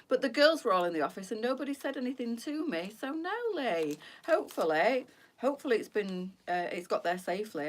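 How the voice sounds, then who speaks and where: Chatty Yorkshire woman live-streaming. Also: on the low side. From Yorkshire, England. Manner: chatty and rambling, laughs often, teasing. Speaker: boutique live-stream host. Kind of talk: casual live-stream chat to viewers.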